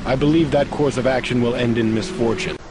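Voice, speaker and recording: A man playing an honourable older martial arts champion in a video game, formal, courteous and deliberate. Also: medium pitch, fairly even intonation, background noise, lightly acted. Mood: earnest, concerned. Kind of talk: video game cutscene acting.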